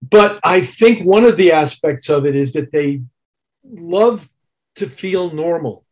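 A man with a husky voice talking thoughtfully and articulately as a musician being interviewed. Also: fairly high pitch, animated, slow pace. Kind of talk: reflective conversational interview.

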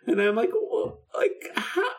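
A man's voice: extremely high pitch, very animated, measured pace, a loud crowd. A man co-hosting a podcast in a casual chat, talking informally and animatedly as he acts out bits of dialogue. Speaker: podcast co-host. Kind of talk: casual chat about a film radio drama.

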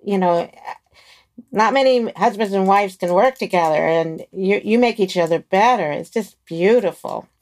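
A woman's voice: medium pitch, moderate pace, a little mellow. Effusive woman interviewer. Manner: effusive, admiring.

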